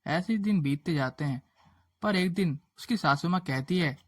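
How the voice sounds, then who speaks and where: Slightly high-voiced male storyteller. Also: moderate pace, no echo. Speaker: narrator. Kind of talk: scripted moral story narration. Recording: faint background music.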